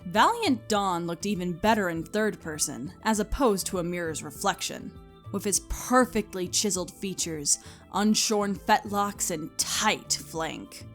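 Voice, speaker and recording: A woman serves as narrator of a scripted comic story. She reads expressively at a middling pitch, with a musical bed behind her voice. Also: lively intonation, unhurried, soft background music.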